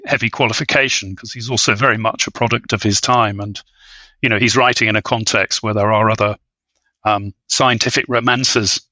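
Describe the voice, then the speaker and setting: A man speaking as an academic guest, discussing literature in halting, erudite phrases with repeated words. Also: fairly low pitch, pushed and bright, no room sound, rough recording. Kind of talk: scholarly interview discussion.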